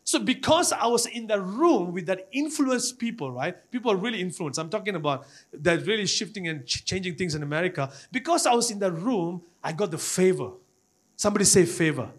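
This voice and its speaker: Male preacher, Malaysian accent, high-pitched voice, impassioned and exhorting sermon delivery with frequent repetition. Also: expressive, brisk. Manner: repetitive, exhorting, often addressing the congregation.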